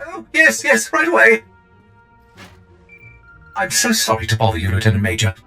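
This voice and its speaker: Bright, fussy receptionist droid. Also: male voice, lowish voice, wildly swooping pitch, moderate pace, vocal fry, faint music behind, heavily acted. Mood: flustered apology. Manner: obsequious, formal. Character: fussy, polite male-voiced office receptionist droid. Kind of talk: video game cutscene acting.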